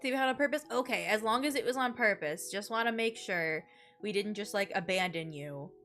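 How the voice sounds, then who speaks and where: A woman live-streaming a video game, chatting in a rambling, playful way with a relatively deep voice. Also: lively intonation, brisk, a bit bright. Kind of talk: casual chat with gamers and viewers, bursts of singing. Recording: music behind the voice. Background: music.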